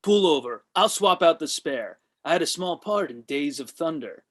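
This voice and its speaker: A man's voice: a little high, lively intonation, normal speed, a bit bright. A man playing a pushy, deluded washed-up actor with an earnest, self-important manner in a comedy table read. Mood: eager, pitching. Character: Drama, pushy deluded male washed-up actor pitching ideas.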